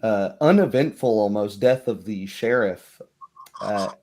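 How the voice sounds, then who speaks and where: A man narrating and recapping a tabletop roleplay as game master, often pausing to search for words. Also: on the low side, warm tone, a little hiss or hum.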